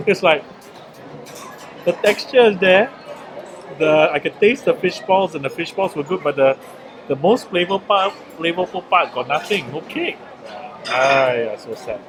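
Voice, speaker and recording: A man from Singapore with a Singaporean English accent, interviewing a young poet in a chatty, animated way. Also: fairly high pitch, unhurried, long pauses, music in the background, rough recording. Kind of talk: casual interview chat.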